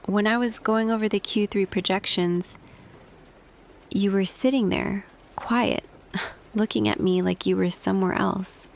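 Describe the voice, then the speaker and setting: A woman playing a tender, quietly smitten office boss in an intimate ASMR roleplay, speaking softly and deliberately, often half whispering. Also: mid-range voice, steady tone, gravelly. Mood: gently teasing. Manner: slow, hushed, deliberate, pausing. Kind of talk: ASMR roleplay audio, intimate scripted monologue.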